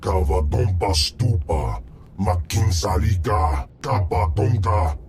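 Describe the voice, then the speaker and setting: A man voicing a blustering alien crime boss in a video game, slow, weighty and imperious, in an invented alien tongue. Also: deep voice, expressive, crowd noise, heavily acted.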